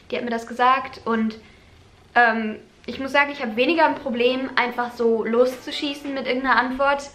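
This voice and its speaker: A young woman au pair chatting casually at home, agreeable and quick to jump in. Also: fairly high pitch, fairly bright tone, echoey room. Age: young adult. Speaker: au pair vlogger. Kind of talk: casual chat. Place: home living room, indoors.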